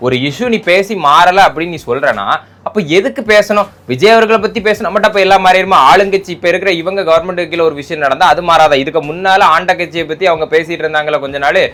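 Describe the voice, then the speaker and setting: A man's voice: high voice, fast, a bit bright. A man from giving casual political commentary, arguing and mocking with rhetorical questions. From Tamil Nadu, India.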